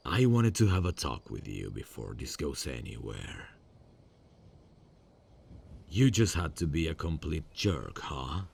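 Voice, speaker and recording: A man voicing a soft-spoken male serial killer in an ASMR audio drama, calm and quietly menacing, often close to a whisper. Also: exaggerated voice. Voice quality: gravelly, husky low voice. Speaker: voice actor.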